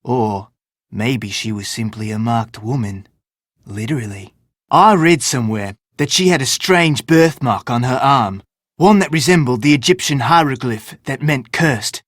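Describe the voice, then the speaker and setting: A sarcastic, curse-obsessed member of a film crew, played by a man in an adventure game. The delivery is theatrical and pointed, in a mid-range pitch. The recording is dry, with no room sound. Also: measured pace, no room sound, natural voice. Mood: hushed, ominous. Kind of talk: video game voice acting.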